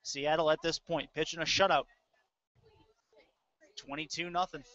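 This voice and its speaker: A man's voice: a little high, steady tone, moderate pace, pushed and bright, no room sound. A man calling live American football play-by-play in a conversational way, as a sports announcer. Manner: conversational between plays. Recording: dull lo-fi audio stream.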